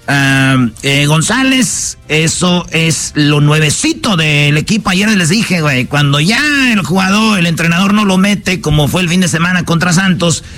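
A man's voice: fairly high pitch, normal speed, hardly any pauses, fairly bright tone, faint music behind. A Mexican man hosting a sports talk show, joking and teasing in a playful, slangy way.

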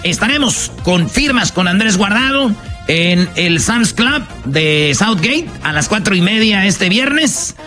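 A man's voice: high-pitched, animated, slow pace, bright, forceful tone, soft background music. A man hosting a radio show, announcing an upcoming event in an upbeat way. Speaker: radio host. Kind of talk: on-air event promo.

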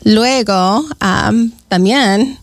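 A woman's voice: medium pitch, drawn-out words, vocal fry, dry recording. Middle-aged woman, dry studio voice. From Peru. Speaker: school district parent educator, radio guest. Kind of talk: radio interview guest. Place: radio studio.

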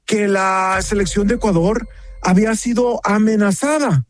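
The high-pitched Mexican man on a talk radio show who brings the latest news to his co-host like neighborhood gossip. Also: steady tone, normal speed. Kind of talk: chatty conversational radio news banter.

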